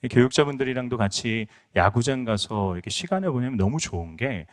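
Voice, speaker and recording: A man's voice: mid-range voice, lively intonation, a little fast. A middle-aged male pastor from Incheon giving a conference lecture, telling stories earnestly with many fillers. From Incheon, South Korea. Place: conference hall.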